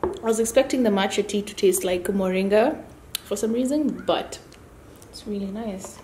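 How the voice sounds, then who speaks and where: Young woman, self-shot vlog, relaxed chatty delivery, somewhat deep voice, faint hiss. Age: young adult. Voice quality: deeper voice for a woman. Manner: chatty, relaxed, drawn-out fillers. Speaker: student vlogger. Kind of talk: casual vlog chat. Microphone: camera or phone held by herself.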